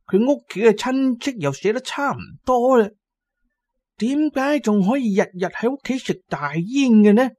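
A man's voice: extremely high pitch, animated, normal speed, darkish tone, dry recording, voice pushed up. A man voicing a petty, suspicious neighbour who mutters spiteful gossip in a Cantonese audio drama. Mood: suspicious scheming.

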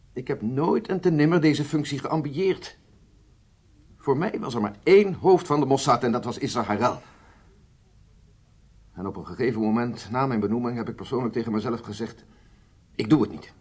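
A newly appointed intelligence chief who never wanted the job, in a radio play. His husky, medium-pitched voice is earnest and grave, with emphatic repetitions and a deliberate delivery. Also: darkish tone. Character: earnest, reluctant new male intelligence chief. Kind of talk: radio drama acting.

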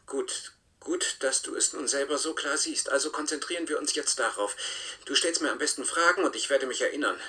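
An actor in a German radio play voicing the simulated copy of a husband, pleading for his life in a halting, emphatic way. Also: on the high side, bright, forceful tone, distant mic. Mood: eager. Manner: halting, emphatic, repeats words.

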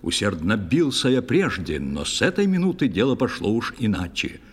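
A man's voice: fairly low pitch, moderate pace, slightly rough, long reverb tail. An actor playing a proud, ardent young knight who tells his adventures in dramatic verse for a children's audio play. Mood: animated recollection. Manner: dramatic, expressive declamation. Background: faint music.

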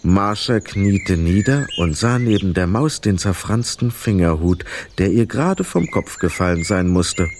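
A man narrating a children's radio play as a scripted story, with expressive, dramatic delivery. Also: low-pitched, a little slow, few pauses, slight background noise.

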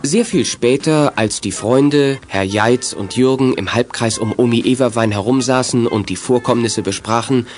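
A man's voice: mid-range voice, normal speed, hardly any pauses, faint music behind, natural voice. A man narrating a German youth adventure radio play as a scripted storyteller.

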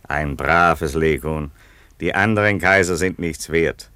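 Roman emperor for radio satire: bass register, serene, genial, eccentric, unhurried, dry acoustic. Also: male voice, bright, forceful tone, clear, resonant tone, dry recording, heavily acted. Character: placid, eccentric Roman emperor devoted to his hens. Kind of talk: satirical radio play acting.

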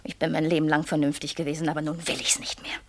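An actress in a German radio play playing a desperate, bitter young wife trapped in a loveless marriage, intense, pleading and reproachful. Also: female voice, low-pitched, level tone, quick pace.

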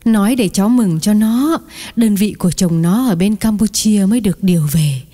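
A woman's voice: medium pitch, moderate pace, dark tone, lightly acted. A woman playing a kind-hearted, chatty older village aunt who exclaims and sighs in an audio story. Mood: sympathetic, chatty. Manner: exclaiming, chatty, sighing over misfortunes. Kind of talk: acted dialogue in an audio story.

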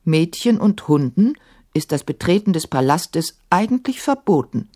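A woman's voice: on the low side, sing-song, normal speed, mellow, no room sound, studio quality. A woman voicing a proud, scornful young male caliph in a storybook, with imperious, emphatic delivery. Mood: haughty, stern.

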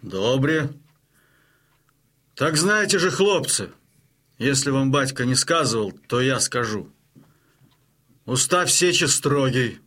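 An actor playing a stern but hearty Cossack chieftain in an audio drama, with a rough voice and a weighty, commanding manner. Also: male voice, medium pitch, animated, takes it slowly, pauses often, a bit bright. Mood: stern, ceremonious.

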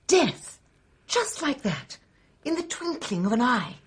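An actress playing a timid, gushing English spinster, deferential and fluttery, in a radio drama. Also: female voice, high voice, sing-song, slow pace, slightly rough, heavily acted. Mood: fluttery eagerness.

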